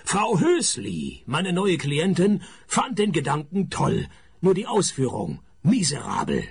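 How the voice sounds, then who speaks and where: A sardonic private eye in a radio drama. His creaky voice rides up and down in pitch as he narrates with dry, weary sarcasm. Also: huge pitch swings, a little slow, full character voice. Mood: wry, weary. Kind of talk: radio play narration and dialogue.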